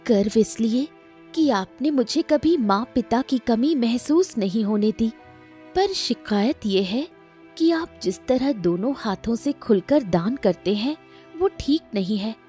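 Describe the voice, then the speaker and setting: Caring, worried younger sister for a cartoon moral tale, played by a woman; measured pace, earnest scolding. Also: on the high side, lively intonation, warm tone, soft background music. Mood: worried, reproachful.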